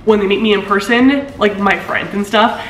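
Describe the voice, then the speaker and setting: Young woman vlogger with a deeper voice, casual and chatty, laughs a lot, recorded on a camera mic in a roomy apartment. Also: normal speed, fairly bright tone, slight background noise. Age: 20s. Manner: chatty, laughs often, swoops up in pitch. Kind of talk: casual chat reading comments. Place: living room of an apartment. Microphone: camera mic at a few feet. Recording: echoey room.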